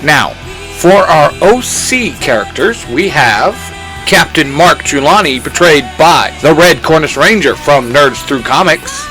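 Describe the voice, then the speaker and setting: A man hosting his own podcast, reading out credits and giving shout-outs. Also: on the high side, lively intonation, a little slow, faint music behind, rough recording. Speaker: podcast creator and host.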